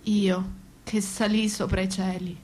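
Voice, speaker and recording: Actress as mournful asylum inmate intoning poetry. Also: female voice, medium pitch, level tone, unhurried, darkish tone, lightly acted, low-quality recording. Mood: mournful, solemn. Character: female asylum inmate, sorrowful chorus voice. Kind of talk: poetic theatrical recitation.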